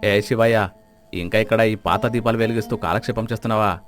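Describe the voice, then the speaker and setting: A man's voice: on the low side, steady tone, quick pace, soft background music, close to an everyday voice. An actor playing a greedy, arrogant rich village strongman in a moral story, boastful and menacing. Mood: mocking, boastful.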